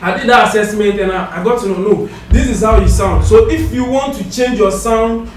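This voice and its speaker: A young man, a guest musician in a studio interview, talking in an easygoing, soft-spoken way with pidgin phrases. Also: high voice, a little fast, soft background music. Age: young adult. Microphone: stand mic. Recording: echoey room, mic at a distance.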